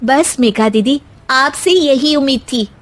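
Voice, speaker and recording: A woman voicing a young newlywed daughter-in-law who is polite, calm and firmly assertive. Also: sits high, a little slow, pitched above the performer's own voice. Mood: firm, indignant. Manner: polite but firm.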